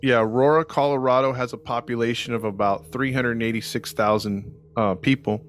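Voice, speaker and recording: A man's voice: medium pitch, fairly even intonation, fairly quick. A man narrating a true crime story on a podcast in a casual, joking, conversational way.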